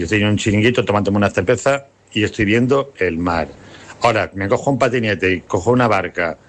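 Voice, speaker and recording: A man calling a radio show with a playful voice message, musing on words for the sea. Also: on the low side. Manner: playful, ends singing la-la-la. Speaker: caller.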